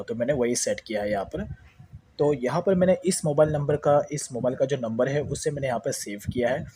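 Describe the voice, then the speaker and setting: A man's voice: medium pitch, warm tone, slight background noise. A man with an Indian accent giving a casual step-by-step phone tutorial, explaining repetitively and mixing in English words. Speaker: tech tutorial host.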